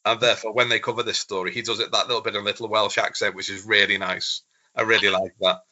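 Male podcast host, keen and stammering, bright tense tone, dull recording. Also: fairly low pitch, little pitch movement, fast. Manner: enthusiastic, stammering restarts, acts out quotes. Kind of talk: casual podcast chat.

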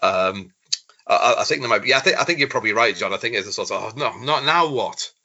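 Male podcast host, keen and stammering, bright tense tone, dull recording. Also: on the low side, lively intonation, fast talker, breathy edge. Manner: enthusiastic, stammering restarts, acts out quotes. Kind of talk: casual podcast chat.

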